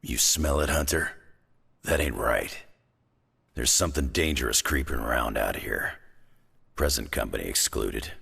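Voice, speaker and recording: An actor playing a gruff, wry, rugged monster tracker in a video game, with a gravelly, raspy voice and a folksy, blunt way of talking. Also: male voice, a bass voice, measured pace, pauses often, pushed and bright, dry recording, exaggerated voice. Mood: wary, wry. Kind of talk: video game character acting.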